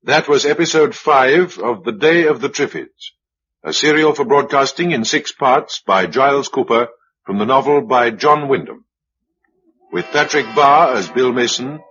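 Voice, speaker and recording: A man's voice: mid-range voice, unhurried, a bit bright. A man with a British accent reading formal scripted credits as a radio announcer. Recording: dull old broadcast recording. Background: music.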